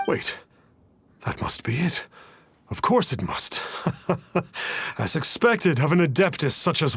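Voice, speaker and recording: A man's voice: fairly high pitch, fairly bright tone, husky, exaggerated voice. An actor playing a pompous male fake exorcist in a video game, with a grandiose manner of speech. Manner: grandiose, calls himself "one". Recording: game audio with faint music.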